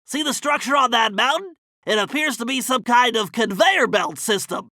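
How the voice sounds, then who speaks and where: A brainy young male cartoon turtle for a video game. The voice is very high and pinched, with a bright, tense edge and a creaky grain. He explains plans in a fussy, exact, earnest way, leaning hard on key words. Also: lively intonation, moderate pace, no room sound, clean studio sound, heavily acted. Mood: alert, instructing. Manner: nerdy, precise, earnest, emphatic. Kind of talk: animated video game mission dialogue.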